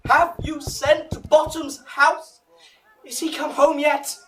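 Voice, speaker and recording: A man playing an earnest, excitable craftsman in an amateur troupe, speaking emphatically in a theatrical Shakespearean audio drama. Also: very high voice, slow pace, slight background noise, full character voice. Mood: anxious admiration. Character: earnest, excitable amateur player, worried over his troupe. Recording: slightly distant from the mic.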